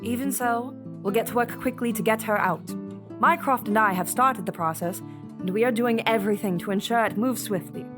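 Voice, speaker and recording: A woman acting a calm, reassuring mentor with measured, formal speech in an audio drama. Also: fairly high pitch, over music, lightly acted.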